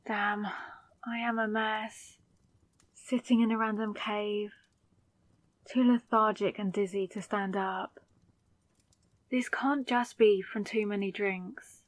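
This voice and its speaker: A woman's voice: mid-range voice, flat delivery, slow pace, long pauses, dry recording, natural voice. A woman acting in an audio roleplay as a confused, hungover new vampire, wary and sarcastic, muttering in exasperation to herself. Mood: groggy, bewildered.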